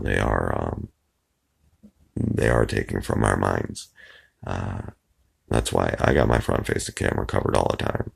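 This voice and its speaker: A man speaks in an extremely low, gravelly voice, hoarse and husky throughout. He rambles casually into his phone's microphone, wandering off track and filling the gaps with "uh" and "um". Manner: meandering, trails off with many fillers. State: hoarse and raspy. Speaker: video blogger. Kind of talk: rambling casual monologue to camera.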